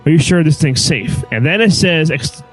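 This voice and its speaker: A man's voice: on the high side, lively intonation, moderate pace, a bit bright, husky, faint music behind, low-quality recording. A man co-hosting a podcast with casual banter and silly, crude humor. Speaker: podcast co-host.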